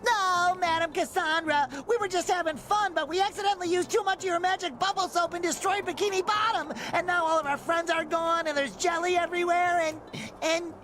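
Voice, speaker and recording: A man voicing an earnest, excitable young sea sponge in a cartoon game, squeaky and nasal, with eager, naive delivery. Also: a little fast, faint music behind. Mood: distressed, dramatic. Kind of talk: cartoon video game acting.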